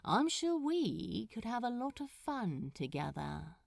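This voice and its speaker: A woman playing a cool, teasing, flirtatious man with a low, languid drawl, in a story reading. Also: big pitch swings, slow pace, creaky, darkish tone, no room sound, clean studio sound, heavily acted. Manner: languid, suggestive.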